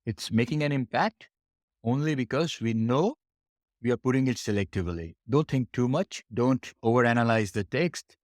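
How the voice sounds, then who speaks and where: A man's voice: mid-range voice, expressive, normal speed, a little mellow, no room sound, studio quality. A man teaching a test preparation lesson, explaining step by step and repeating phrases for emphasis. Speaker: English test preparation instructor.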